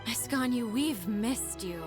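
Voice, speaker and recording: Slow, high, weary young clerk. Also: female voice, animated, over music. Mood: adoring. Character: weary, adoring young female office worker. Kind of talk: video game voice acting.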